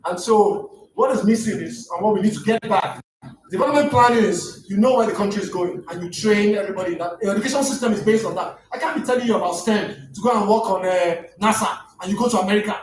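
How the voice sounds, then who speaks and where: Nigerian guy lecturing, quick and fired up, stammery restarts, kinda distant echoey room with faint hiss. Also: high voice. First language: Yoruba. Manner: impassioned, rising to near shouts, stammering restarts. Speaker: guest lecturer.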